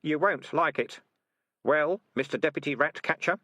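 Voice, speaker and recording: A calm young interrogator from a storybook voiced by a man, with quiet menace. The delivery is pointed and dry in wit, with a lightly raised, husky voice and a close, dry sound. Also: on the high side, moderate pace, no echo, voice pushed up. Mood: calm, menacing.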